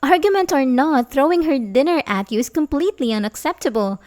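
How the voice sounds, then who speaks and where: A woman's voice: high-pitched, expressive, fairly quick, a little mellow. A woman playing an obsessive, manipulative young babysitter who feigns sympathy, in an intimate, hesitant ASMR roleplay style. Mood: sympathetic, coy. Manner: hesitant, stammering, nervous chuckles, sighs. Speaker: audio roleplay performer.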